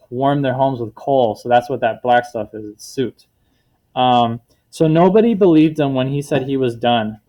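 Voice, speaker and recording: A man with a mid-pitched voice reads a children's picture book aloud as a teacher. He explains words in simple terms and often turns to the class with questions to check that they follow. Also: a little mellow.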